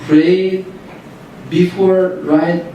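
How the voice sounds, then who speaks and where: A man with a Turkish accent answering audience questions at a workshop, pausing often to find English words. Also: high-pitched, little pitch movement, mellow, a little hiss or hum. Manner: halting, searching for English words. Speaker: Qur'an reciter. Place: lecture room at a workshop. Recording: echoey room, distant mic.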